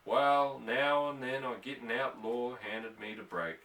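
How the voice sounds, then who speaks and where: A man's voice: medium pitch, fairly even intonation, unhurried, a bit bright, a little hiss or hum. A man voicing a laconic, plainspoken bronco buster in a storybook reading. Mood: matter-of-fact yarning. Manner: folksy drawling rustic dialect. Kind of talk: storybook character voice in audiobook. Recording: roomy echo, distant from microphone.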